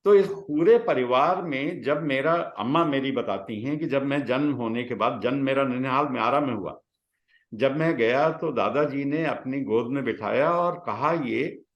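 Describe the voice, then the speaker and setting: A man, telling personal stories reflectively in conversation, with halting pauses between phrases. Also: medium pitch, creaky, lo-fi sound. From Bihar, India. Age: 60s. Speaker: guest, retired scientist. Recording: roomy, echoing sound.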